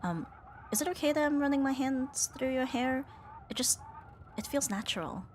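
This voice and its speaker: A woman's voice: sits high, expressive, moderate pace, a little hiss or hum. Woman playing a flustered, affectionate young tomboy roommate. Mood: soft, affectionate. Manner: hesitant, teasing, breathy sighs and nervous laughs. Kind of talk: intimate ASMR romance roleplay.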